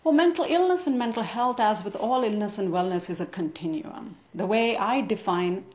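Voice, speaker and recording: A woman from India, a guest on a TV interview, explaining her views in an articulate, measured way. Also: mid-range voice, darkish tone, echoey room. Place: TV studio.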